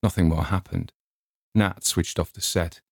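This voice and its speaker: Deep-voiced man narrating a story. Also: fairly even intonation, measured pace, gravelly, no echo, clean studio sound. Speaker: audiobook narrator.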